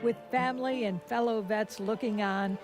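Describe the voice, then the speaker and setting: A woman's voice: a little high, fairly even intonation, moderate pace, faint music behind, poor audio. Woman reporter narrating a news feature. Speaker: television reporter.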